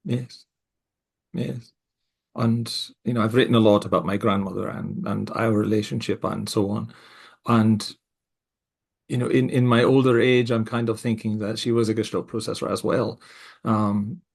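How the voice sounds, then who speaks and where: Middle-aged male co-host, mixed Scottish-American accent, raspy gravelly voice, reflective and hesitant. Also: lowish voice, fairly even intonation. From Scotland. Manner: reflective, speaks in halting restarts with soft laughs. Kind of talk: casual podcast conversation.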